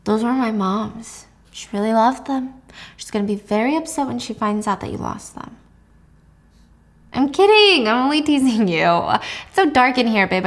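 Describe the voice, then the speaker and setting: A woman voicing a controlled, quietly suspicious husband in a drama recap, with a smooth, teasing manner. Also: fairly high pitch, close to an everyday voice.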